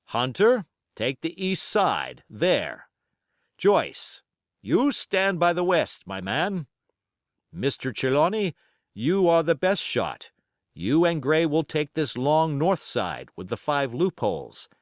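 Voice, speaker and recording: A man acting a brisk commanding sea captain, raised pitch. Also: very animated, no echo, clean studio sound. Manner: barking terse orders. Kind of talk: audiobook character acting.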